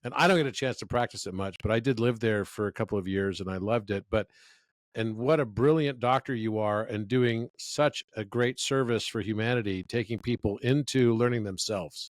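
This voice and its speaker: A man's voice: fairly low pitch, normal speed, no echo. A man in his fifties, a guest in a conversational interview, telling stories with enthusiasm. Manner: enthusiastic, storytelling.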